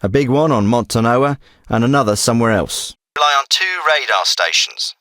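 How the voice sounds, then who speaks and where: A hard-nosed covert military commander from a video game. His bright, forceful voice sits at a middle pitch, dry and close. He delivers terse orders and briefings with firm authority. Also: expressive, normal speed, no room sound. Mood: businesslike, focused. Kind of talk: scripted video game dialogue. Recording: some clips processed as radio.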